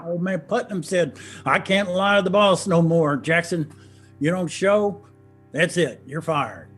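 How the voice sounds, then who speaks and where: A man's voice: on the high side, measured pace, fairly bright tone, husky, soft background music. A man acting a wry hill-country brother swapping stories in a stage play, with an Appalachian accent. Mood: grim retelling. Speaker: actor in a one-act play. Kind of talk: stage play dialogue, reminiscing.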